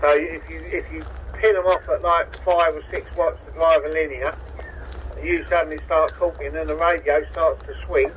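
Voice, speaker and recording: A man's voice: fairly high pitch, flat delivery, normal speed, a bit bright. A British man talking casually over CB radio, explaining technical points in an informal, matey way. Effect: CB radio transmission. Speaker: CB radio operator. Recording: dull CB radio audio, faint noise.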